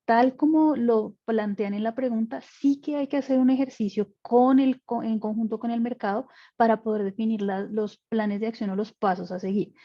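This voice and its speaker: A woman's voice: fairly high pitch, steady tone, normal speed, hardly any pauses, lo-fi sound. A woman panelist giving a technical explanation on an online webinar, talking things through with fillers and self-corrections. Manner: explanatory, with frequent fillers and restarts. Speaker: panelist, insurance expert.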